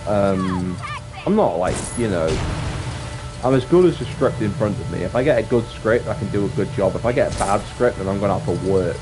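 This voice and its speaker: A man with a deep voice chatting casually on a livestream, rambling reflectively and often restating his phrases. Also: animated, brisk. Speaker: streamer and actor. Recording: music playing behind the voice. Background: clear background music.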